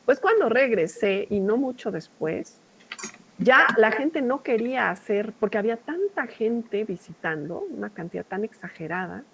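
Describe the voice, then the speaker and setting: A woman's voice: fairly high pitch, animated, normal speed, gravelly, a bit bright, a little hiss or hum, low-quality recording. A middle-aged woman with a Mexican accent teaching a class in an informal, discursive, conversational way, often checking in with short tag questions. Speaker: university lecturer.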